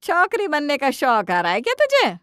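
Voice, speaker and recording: A woman's voice: sits high, huge pitch swings, moderate pace, a bit bright, no room sound, studio quality, pitched above the performer's own voice, heavily acted. A woman voicing a taunting, emphatic older mother-in-law who scolds and defends her son in a domestic cartoon story. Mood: scolding, taunting.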